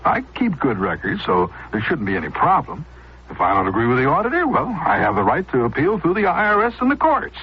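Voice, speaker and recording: An actor playing a chatty, hapless amateur golfer, breezy and comic, in a radio public service spot. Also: male voice, mid-range voice, huge pitch swings, brisk. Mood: reassuring. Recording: muffled radio, faint noise.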